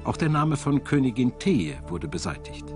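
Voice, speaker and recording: A man narrating a scripted documentary in a measured, solemn storytelling manner. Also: mid-range voice, very animated. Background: background music.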